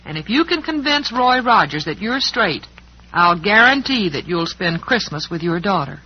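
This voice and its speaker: An actor playing a kindly, reassuring townsperson in an old-time western radio drama. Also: male voice, sits high, very animated, normal speed, noisy background. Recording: muffled vintage radio broadcast.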